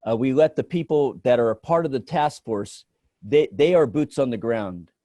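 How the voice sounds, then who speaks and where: An American man giving informal advice on a conference call, phrasing his points haltingly. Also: mid-range voice, dark tone, no echo. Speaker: featured guest consultant. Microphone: video call microphone.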